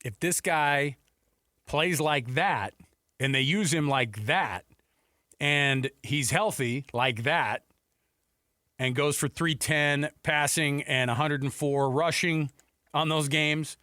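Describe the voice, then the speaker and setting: A man's voice: a little high, slow pace, bright, forceful tone, no room sound. An American man hosting sports talk radio in a casual, conversational style on a studio microphone. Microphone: close studio radio microphone.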